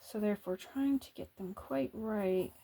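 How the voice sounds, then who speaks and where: Woman, soft-spoken ASMR artist; husky, breathy tone, hesitant and thinking aloud. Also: medium pitch, a little slow. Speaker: artist drawing on camera.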